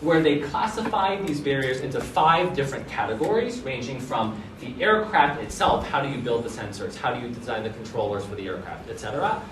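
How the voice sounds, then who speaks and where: A man's voice: medium pitch, lively intonation, a little fast, few pauses, background noise. A man giving a casual academic seminar talk, often checking in with the audience and restarting his phrases. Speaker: visiting researcher giving a seminar. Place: lecture room. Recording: echoey room, mic at a distance.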